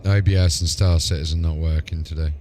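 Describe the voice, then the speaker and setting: A man's voice: a bass voice, fairly even intonation, brisk, dark tone, a little hiss or hum. A man chatting casually as a streamer, reacting live to what he is watching. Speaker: gaming streamer.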